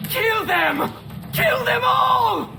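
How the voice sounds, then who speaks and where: A man's voice: extremely high pitch, expressive, drawn-out words, gravelly, raised voice, full character voice. A man playing an arrogant, cruel young noble villain in a video game, taunting and pompous, with an Italian accent. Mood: furious, commanding. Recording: music playing behind the voice.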